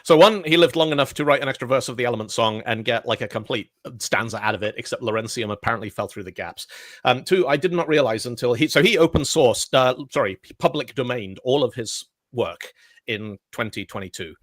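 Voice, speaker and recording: Brief: male co-host, mid pitch, dry close mic, chatty storyteller who acts out quotes. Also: fairly quick, no room sound. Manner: rambling storyteller, animated quotes. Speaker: podcast co-host. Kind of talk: casual chat.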